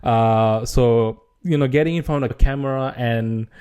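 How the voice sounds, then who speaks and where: A man's voice: medium pitch, normal speed, darkish tone, clean tone. A young man from Sri Lanka with a Sri Lankan accent, whose first language is Sinhala, talking casually to camera in a vlog, often restarting his sentences. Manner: hesitant, many restarts and fillers. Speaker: gaming channel creator.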